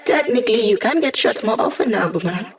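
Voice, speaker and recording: Video game mission-support hacker, female, young: wry, conversational, quick with quips. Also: on the high side, lively intonation. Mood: wry, playful.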